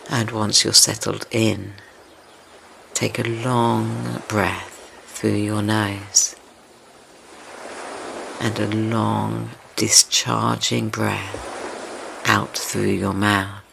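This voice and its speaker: A man leading a guided meditation in a gentle, soothing, tender manner, unhurried and with long pauses between phrases. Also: lowish voice, gravelly, low-quality recording. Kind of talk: slow guided meditation, yoga nidra. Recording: steady ocean wave noise behind voice. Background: ocean wave sounds.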